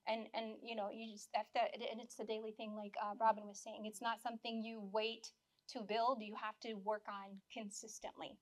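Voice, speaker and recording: Slightly hoarse woman panelist. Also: a little high, little pitch movement, fairly quick. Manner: earnest, reflective, many restarts. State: dry mouth, slightly losing voice. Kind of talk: live panel discussion.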